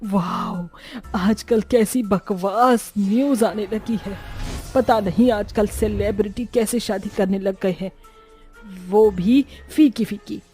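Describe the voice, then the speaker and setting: A woman's voice: medium pitch, slow, warm tone, faint music behind, lightly acted. A woman voicing a vain, fussy young cartoon witch who chats in a theatrical, dismissive way. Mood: dismissive, musing. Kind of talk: Hindi cartoon dubbing.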